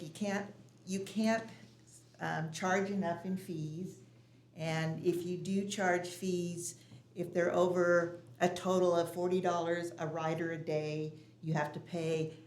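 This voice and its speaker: A woman's voice: sits low, a little mellow, slight background noise. Indignant woman at a meeting. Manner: indignant, halting with restarts. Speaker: public commenter at a city commission meeting. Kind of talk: public comment at a meeting. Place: public meeting hall. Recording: echoey meeting room.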